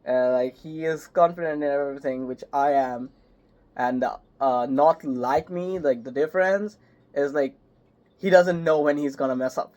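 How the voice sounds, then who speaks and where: A young man, a voice actor, chatting casually in an interview, halting and filling with "like" and "yep". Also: fairly high pitch, darkish tone. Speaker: voice actor guest. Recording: slightly muffled call audio.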